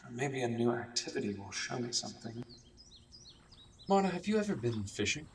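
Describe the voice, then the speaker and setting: A man's voice: medium pitch, big pitch swings, normal speed, a little hiss or hum. A man playing an earnest, awkward doctor in a radio drama, hesitant, apologetic and reflective as he questions a patient. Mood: tentatively friendly. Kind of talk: radio drama acting with inner monologue. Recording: distant mic, music underneath.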